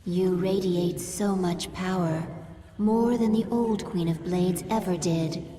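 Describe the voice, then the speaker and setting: Dark-toned, reverent follower. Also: female voice, fairly low pitch, fairly even intonation, slow. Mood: awed. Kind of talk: video game cinematic acting. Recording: distant, reverberant, faint noise.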